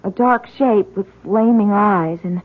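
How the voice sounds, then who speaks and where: An actress playing a nervous, earnest college woman in an old-time radio drama, halting and insistent as she speaks. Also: mid-range voice, natural voice. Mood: nervous recounting. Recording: muffled, narrowband old radio broadcast.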